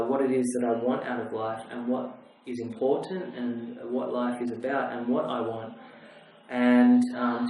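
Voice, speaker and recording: A man answering interview questions in a thoughtful, earnest way, with many ums and restarts. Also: medium pitch. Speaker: interviewee, couchsurfing traveler. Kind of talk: reflective casual interview. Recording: very echoey room, faint background noise.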